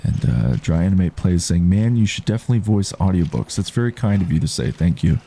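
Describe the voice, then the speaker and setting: A man with a baritone voice giving calm, unhurried commentary while he plays a game and reads chat on a live stream. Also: gravelly. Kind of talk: relaxed live gameplay commentary.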